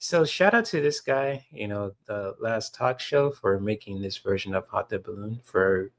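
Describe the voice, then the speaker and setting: A soft-spoken man hosts a reaction podcast in a slightly low, soothing voice. He rambles casually, leaning on fillers and restarts as he talks to his listeners. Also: moderate pace, slight background noise. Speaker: podcast host reacting to a clip.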